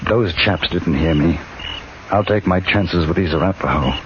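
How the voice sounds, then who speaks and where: An actor with an English accent playing a dry-witted, calmly composed English adventurer in the Old West, in a 1950s radio drama. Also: male voice, sits low, normal speed, slight background noise, close to an everyday voice. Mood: calm, resolute. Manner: clipped, understated, calmly composed. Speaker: lead character and narrator. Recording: muffled old radio broadcast.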